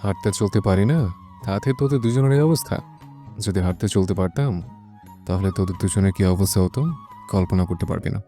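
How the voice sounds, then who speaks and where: Man acting a deep, scornful young man. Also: fairly quick, dark tone, faint music behind, natural voice. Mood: menacing. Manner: scornful, commanding. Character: cold, contemptuous injured young man, bitterly threatening. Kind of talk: audio story acting.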